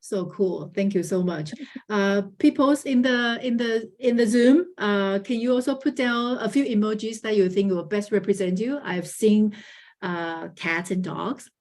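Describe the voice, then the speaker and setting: A woman's voice: mid-range voice, unhurried, darkish tone. A woman moderating an online panel over a video call, speaking with frequent hesitant fillers.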